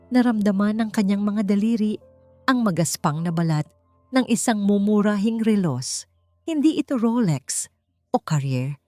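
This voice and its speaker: A woman's voice: medium pitch, warm tone. A woman narrator reading a scripted story in a dramatic storytelling style. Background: background music.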